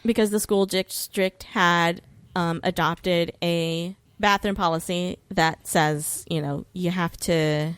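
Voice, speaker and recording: A woman's voice: lowish voice, steady tone, slow, hardly any pauses, clear, resonant tone, no room sound. A woman talking as a podcast guest, explaining things informally and hesitantly, with some swearing. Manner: informal, sweary, hesitant while recalling details. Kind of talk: casual conversational explanation of legal issues.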